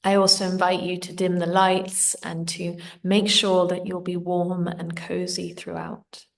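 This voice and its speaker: A woman leading a guided meditation in a gentle, soothing, unhurried manner. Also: on the low side, completely flat delivery, gravelly, distant mic. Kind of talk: slow guided meditation narration.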